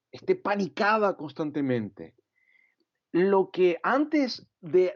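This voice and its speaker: A Venezuelan man preaching a sermon in a reflective way, often pausing with "eh" and adding "¿no?" at the end of his phrases. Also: sits high, a little mellow, lo-fi sound. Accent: Venezuelan Spanish. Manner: reflective, frequent "eh" and "¿no?" tags. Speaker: pastor.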